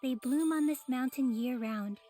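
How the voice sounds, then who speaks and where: A woman's voice: high voice, moderate pace, mellow, soft background music, lightly acted. A woman voicing a small, serene, solemn girl guide in an anime game, formal and polite.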